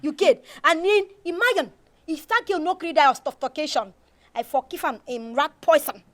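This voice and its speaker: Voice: high-pitched, moderate pace, pushed and bright. An actor playing an aggrieved young hothead with a Nigerian accent, indignant and tripping over repeated words. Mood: aggrieved, vengeful. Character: aggrieved hot-headed young schemer, ranting about revenge. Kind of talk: acted Nigerian Pidgin comedy dialogue.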